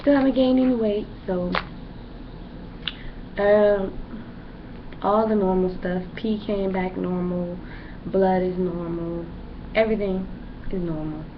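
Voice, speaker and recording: A woman's voice: medium pitch, fairly even intonation, takes it slowly, pauses often. A young woman giving a casual, rambling pregnancy update in a vlog, with lots of fillers. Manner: rambling and chatty, many fillers. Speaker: pregnant vlogger. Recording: dull lo-fi home recording with background noise.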